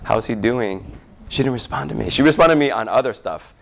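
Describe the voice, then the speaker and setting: A man's voice: medium pitch, expressive, fairly quick, gravelly. A male pastor leading a casual prayer-request time before a Sunday service, chatting with his congregation. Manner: chatty, warm asides with repeated "good, good".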